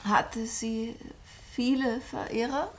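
An actress playing a composed, observant novelist in a German radio play, with precise, matter-of-fact phrasing and considerate manners. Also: female voice, on the high side, fairly even intonation, takes it slowly. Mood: curious.

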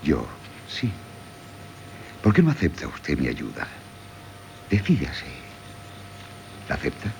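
Casting: solemn, sincere older teacher in vintage radio play, very low pitch, animated melody, Castilian accent. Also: male voice, slow pace, gravelly, pauses often, heavily acted. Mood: earnest, ardent. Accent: Castilian Spanish. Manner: formal, solemn. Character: earnest middle-aged former teacher, a formal suitor. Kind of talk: 1970s Spanish radio theatre acting. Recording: old radio broadcast, low rumbling noise.

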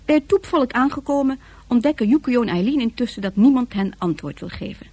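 A woman's voice: a little high, animated, a little fast, a little mellow, dry recording. A woman narrating a scripted science fiction radio drama.

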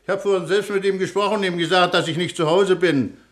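An actor playing a nonchalant, easygoing police chief who mutters and repeats himself in a satirical radio comedy. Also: male voice, sits high, steady tone, a little fast, close to an everyday voice. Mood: nonchalant, dry. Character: easygoing, unflappable police chief commissioner, fond of comfort.

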